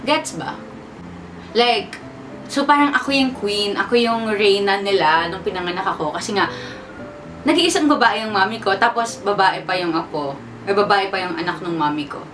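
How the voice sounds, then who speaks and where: A woman's voice: medium pitch, animated, brisk, over music. A woman in her twenties with a Filipino accent, chatting playfully in a sit-down question-and-answer vlog, mixing English and Tagalog. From Philippines. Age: 20s. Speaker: vlogger. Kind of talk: casual sit-down Q&A chat. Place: at home. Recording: distant mic with background noise.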